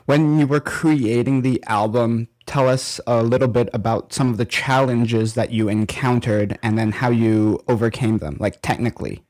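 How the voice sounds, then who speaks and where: A man's voice: mid-range voice, moderate pace, few pauses, darkish tone. Man asking interview questions. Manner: brief, encouraging prompts between questions. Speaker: podcast host.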